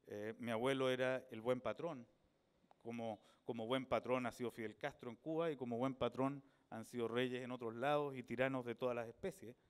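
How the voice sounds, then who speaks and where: A middle-aged Chilean man speaks in a smooth, resonant voice at an ordinary middle pitch. He gives a reflective talk about his book, thoughtful and unhurried, pausing to search for words. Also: fairly even intonation. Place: book fair event.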